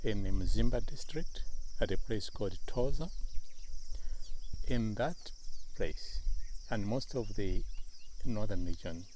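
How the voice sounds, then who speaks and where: A middle-aged Malawian man speaks very slowly, in short measured phrases with pauses. His voice sits low and mellow. Faint crickets and outdoor noise hum behind him. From northern Malawi. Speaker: interviewee. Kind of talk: slow, deliberate storytelling in an interview.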